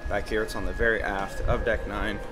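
A man's voice: on the low side, flat delivery, brisk, over music. A man narrating a walking tour of a cruise ship, explaining as he goes with frequent fillers. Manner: friendly explanatory, many "uh" fillers. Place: outdoor and indoor decks of a cruise ship.

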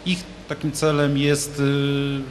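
A man's voice: on the high side, monotone, slow pace, slight background noise. A man in his thirties, a studio guest, speaks casually with many fillers and restarts about a local event, holding a handmade microphone. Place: large TV studio with a glass roof. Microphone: handheld mic.

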